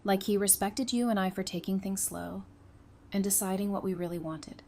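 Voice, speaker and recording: A woman's voice: medium pitch, steady tone, normal speed, darkish tone, a little hiss or hum, close to an everyday voice. An actress playing a candid, caring, perceptive woman who talks casually and frankly in a fan audio drama. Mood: figuring it out.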